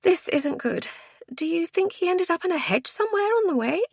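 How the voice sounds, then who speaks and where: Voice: extremely high pitch, lively intonation, fairly quick, creaky, dry recording, voice pushed up, full character voice. A woman playing an anxious, earnest, easily indignant woman in an audiobook, speaking emphatically. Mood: worried.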